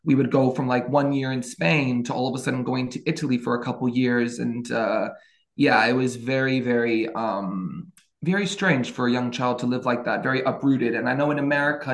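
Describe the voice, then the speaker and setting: A man's voice: mid-range voice, creaky. A man in his twenties from France, a podcast guest telling his life story in a casual, hesitant way with many fillers and restarts. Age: 20s.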